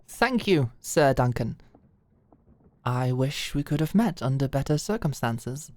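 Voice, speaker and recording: An earnest young lord, played by a woman, speaks politely and deferentially in a medieval audio drama. The delivery is unhurried and hesitant, recorded very dry. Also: very deep voice, expressive, darkish tone, dry recording, full character voice. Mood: grateful, polite. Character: earnest, polite young nobleman pleading as a captive.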